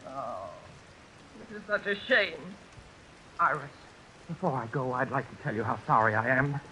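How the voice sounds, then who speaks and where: Man playing a fussy, sharp-voiced genteel killer. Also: mid-range voice, normal speed, natural voice. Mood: rueful, apologetic. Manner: genteel, hesitant, regretful. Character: mild, fussy, apologetic gentleman murderer, politely menacing. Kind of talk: 1940s radio acting. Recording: noisy vintage radio broadcast.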